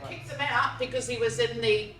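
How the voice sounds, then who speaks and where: A woman's voice: a little high, fairly quick, a bit bright. A woman who owns the salon, talking curtly and with hostility. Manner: curt, hostile. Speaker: salon owner. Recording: echoey room, from far off.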